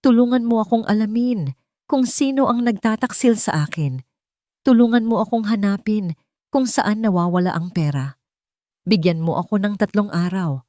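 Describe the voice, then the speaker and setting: A woman's voice: mid-range voice, normal speed, dark tone, no echo, pitched above the performer's own voice, close to an everyday voice. Audiobook role: grave, insistent tycoon read by a woman, desperate yet commanding, dry sound. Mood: urgent, pleading. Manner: serious, weighty, insistent. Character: desperate, commanding male millionaire boss.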